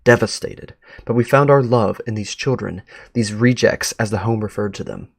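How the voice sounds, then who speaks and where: A man's voice: fairly low pitch, moderate pace, vocal fry, slightly rough, no room sound, studio quality. A man reading letters aloud as a sentimental, well-off doctor and devoted adoptive father, in formal, earnest words. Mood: earnest, wistful.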